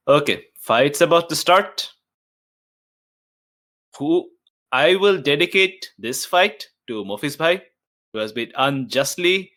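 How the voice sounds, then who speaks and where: A man's voice: mid-range voice, bright, forceful tone. A man playing a tabletop game, slipping casually between chat and in-character lines in a playful, informal way.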